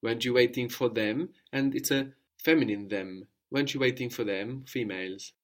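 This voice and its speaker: Male language tutor, patient and explanatory, mid-pitched voice. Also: normal speed. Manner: patient, explanatory, prompting with questions. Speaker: language teacher. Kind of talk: audio language lesson.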